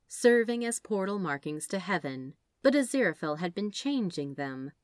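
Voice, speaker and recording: A woman with a slightly low voice narrates fiction expressively. Her intonation moves a lot, and the recording is close and dry, with very little room sound. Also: no echo. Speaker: audiobook narrator of fan fiction. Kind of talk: expressive scripted story narration.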